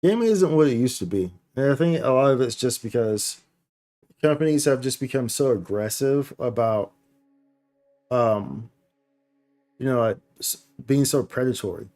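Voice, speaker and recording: A man's voice: medium pitch, lively intonation, moderate pace, a little mellow. A man chatting casually on a live gaming stream, slangy and playful, rambling and often repeating his phrases. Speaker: game streamer, host.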